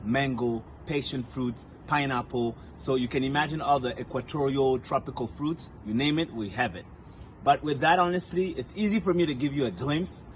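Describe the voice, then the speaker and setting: A man's voice: medium pitch, a bit bright, slight background noise. A man from Rwanda, whose first language is Kinyarwanda, giving a friendly self-recorded video message outdoors among banana trees, listing points with fillers as he goes. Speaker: storyteller.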